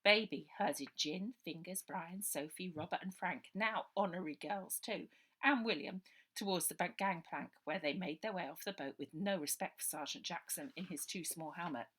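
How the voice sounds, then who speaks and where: A woman's voice: on the low side, normal speed, fairly bright tone, slightly rough. A woman narrating a children's adventure story as its author, reading aloud.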